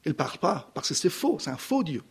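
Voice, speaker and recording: Middle-aged Iranian man, Persian accent, halting testimony, fairly high pitch, handheld mic. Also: sing-song, slightly rough. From Iran. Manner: halting, searching for words, many restarts. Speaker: missionary giving testimony. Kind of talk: personal testimony to a congregation. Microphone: handheld microphone.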